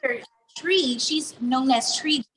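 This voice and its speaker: A woman singer, born in New Jersey and raised in Houston, chatting casually in an interview with quick slangy asides. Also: sits high, a bit bright, rough recording. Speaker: R&B singer, guest.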